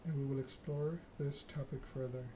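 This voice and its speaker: Refined female vampire mentor, read by a man. Game dialogue, courteous, instructive, very even delivery. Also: mid-range voice, moderate pace, noisy background, natural voice. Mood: grave, instructive.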